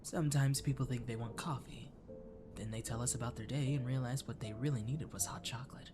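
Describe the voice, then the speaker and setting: A man's voice: mid-range voice, fairly even intonation, a little fast, natural voice. A man playing a courteous, flirty catboy cafe waiter in an ASMR roleplay, speaking attentively and coaxingly to the listener. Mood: caring, playful. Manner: attentive, gently coaxing, lapsing into whispers. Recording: music behind the voice. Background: clear background music.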